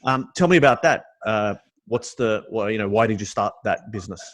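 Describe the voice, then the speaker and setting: A man's voice: fairly low pitch, fairly quick. A middle-aged man hosting an interview in a casual, upbeat and enthusiastic way. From Sydney, Australia. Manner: upbeat, enthusiastic, friendly questioning. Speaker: interview host.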